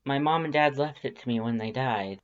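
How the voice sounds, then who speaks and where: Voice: mid-range voice, moderate pace, dull audio, close to an everyday voice. A narrator acting an awkward, casual, sardonic homebody. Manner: hesitant, casual, self-deprecating. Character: awkward, sardonic, sleepy homebody telling their own day.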